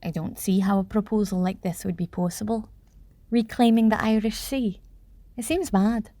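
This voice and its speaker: A woman acting a practical, quietly doubtful parliament delegate in a radio play, measured and formal. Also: mid-range voice, steady tone, no echo, natural voice.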